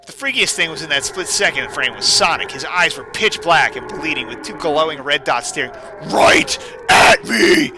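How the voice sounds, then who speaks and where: Sarcastic male game streamer, noisy recording. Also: on the high side. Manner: sarcastic, self-deprecating asides. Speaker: host of a gaming stream. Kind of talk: casual gameplay commentary mixed with mock dramatic story reading.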